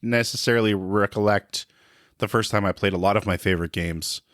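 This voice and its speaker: A man's voice: lowish voice, fairly quick, fairly bright tone, no echo, clean studio sound. Laid-back man in his forties reminiscing. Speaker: guest. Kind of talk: casual reminiscing.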